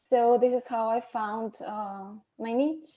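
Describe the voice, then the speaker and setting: A woman from Peru, a podcast host chatting as a guest, hesitant with many pauses and laughs. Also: fairly high pitch, warm tone. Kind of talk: casual interview chat.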